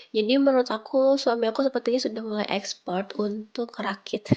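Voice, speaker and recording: A woman's voice: fairly low pitch, a little mellow, lo-fi sound. A young woman with a stuffy, nasal voice from a cold, narrating a home vlog in a casual, conversational way, often searching for words. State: sick with flu and sore throat. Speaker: home vlogger. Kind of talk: casual chatty vlog voice-over.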